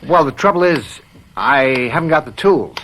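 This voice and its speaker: An actor playing a brisk, businesslike country game warden in an old-time radio drama, plain-spoken and matter-of-fact. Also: male voice, on the high side, lively intonation, fairly bright tone, slight background noise. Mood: businesslike, impatient.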